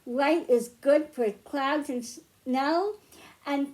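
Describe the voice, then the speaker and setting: A woman's voice: high-pitched, extremely slow, a little mellow. An American woman speaking as a children's librarian at storytime, reading aloud and explaining things simply to young children. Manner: simple, child-directed phrasing with questions to listeners. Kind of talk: picture-book read-aloud and simple teaching for young children.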